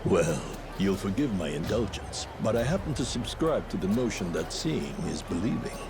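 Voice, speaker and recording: An actor playing a courtly, self-assured old martial artist turned lawyer who speaks in formal, polite, wordy phrases. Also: male voice, lowish voice, sing-song, moderate pace, background noise. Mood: courteous.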